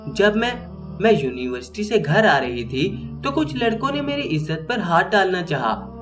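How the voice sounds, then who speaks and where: A frightened young woman student, as a male storyteller puts her on, sobs through her words. The voice climbs high and swings widely as her pleading grows. Soft music plays behind her. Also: sing-song, moderate pace. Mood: tearful. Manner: sobbing, pleading questions.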